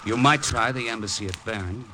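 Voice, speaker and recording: A man's voice: medium pitch, moderate pace, background noise, close to an everyday voice. An actor playing a cynical, mercenary American expatriate in 1940s radio style, terse and wisecracking as he narrates his story. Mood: cool, cynical. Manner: terse, wisecracking, world-weary. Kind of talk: 1940s radio acting, hard-boiled first-person narration. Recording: old radio broadcast recording, music behind.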